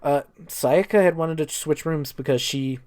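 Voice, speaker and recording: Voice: medium pitch, moderate pace, a little mellow. A speaker giving a casual, improvised story recap as a gaming streamer, rambling and irreverent with plenty of swearing.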